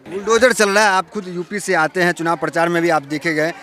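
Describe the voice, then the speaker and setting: High, bright-voiced male street reporter. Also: brisk. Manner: prodding, conversational questioning. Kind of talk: informal on-location interview. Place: outdoors in a public place. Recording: outdoors with faint crowd behind.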